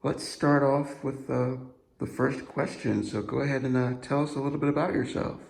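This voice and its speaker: Guy hosting a podcast, gravelly low voice, lots of ums, echoey room. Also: steady tone, moderate pace, darkish tone. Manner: loose, hesitant questions full of fillers. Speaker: podcast host. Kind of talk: casual interview.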